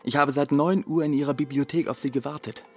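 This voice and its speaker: An actor playing an earnest, morally concerned gentleman painter in a radio drama, with an urgent, formal way of speaking to his friend. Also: a little high, fairly even intonation, fast talker, close to an everyday voice. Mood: friendly, eager.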